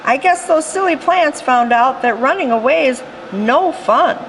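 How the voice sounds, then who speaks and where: A woman reading a rhyming picture book aloud in an expressive storytelling style. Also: sits high, a little slow, a little hiss or hum, low-quality recording. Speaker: storytime reader.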